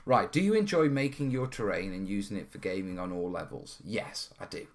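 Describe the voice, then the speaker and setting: A man's voice: lowish voice, lively intonation, a little fast. A man from North Wales hosting a live hobby stream, chatting casually and answering questions in an informal, rambling way. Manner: informal, rambling, often checking in with "yeah" and "you know". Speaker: host of a terrain-modelling hobby stream. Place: studio.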